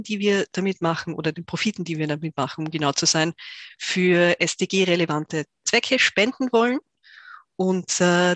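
A woman's voice: on the low side, no echo. A woman from Tyrol, a startup founder talking as a podcast guest, thoughtful, with many fillers and restarts. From Tyrol, Austria. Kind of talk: podcast interview.

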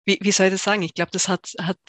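A woman's voice: mid-range voice, little pitch movement, fast talker, no echo. A woman from Tyrol, a startup founder talking as a podcast guest, thoughtful, with many fillers and restarts. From Tyrol, Austria. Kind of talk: podcast interview.

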